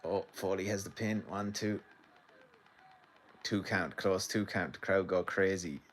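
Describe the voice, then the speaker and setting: A man's voice: sits low, steady tone, measured pace, a little hiss or hum. An Irish man from the midlands hosting a rambling live stream from his sitting room, commenting on a match and chatting to viewers. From Ireland. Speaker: livestream host. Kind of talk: casual live commentary and chat.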